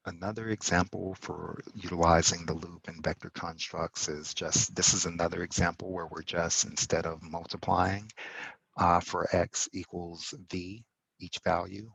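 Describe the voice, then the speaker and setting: A man explaining code step by step in a tutorial, pausing often and correcting himself as he goes. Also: deep voice, flat delivery, gravelly, fairly bright tone, husky, no room sound, poor audio. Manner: halting, self-correcting explanation with fillers. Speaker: instructor. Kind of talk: screen-recorded coding tutorial.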